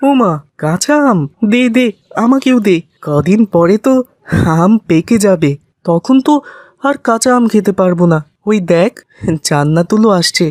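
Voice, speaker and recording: A woman's voice: medium pitch, animated, a little slow, a little mellow, no room sound, studio quality, lightly acted. A woman voicing a friendly, fair-minded cartoon schoolboy who talks in an eager, reasoning way.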